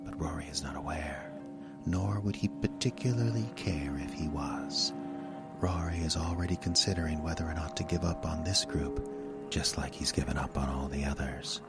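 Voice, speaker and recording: Very deep whispery horror narrator, man. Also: moderate pace. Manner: hushed, half-whispered delivery. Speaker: storyteller. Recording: narration over music. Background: music.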